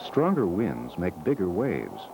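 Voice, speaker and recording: A man narrating an educational film in a measured, explanatory manner, reading scripted short, simple sentences. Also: low-pitched, huge pitch swings, gravelly, noisy background. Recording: old VHS educational film soundtrack. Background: music.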